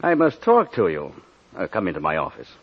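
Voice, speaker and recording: An actor playing a genial, shrewd police inspector, hearty and flattering, in old-time radio drama style. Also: male voice, sits low, fairly quick, background noise, exaggerated voice. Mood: affable, probing. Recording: dull old radio recording.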